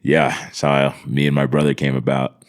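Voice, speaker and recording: A man's voice: very deep voice, flat delivery, moderate pace. A man from the United States, a podcast guest telling casual comedic stories with profane, slangy exaggeration.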